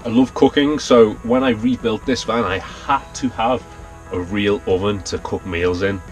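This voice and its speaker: A man's voice: on the low side, normal speed, soft background music, low-quality recording. A man in his thirties with a Liverpool accent, chattily showing his camper van and explaining each feature as he points it out. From Liverpool, England. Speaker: van dweller giving a van tour. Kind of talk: casual vlog tour narration. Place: inside a small wooden camper van. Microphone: handheld action camera close up.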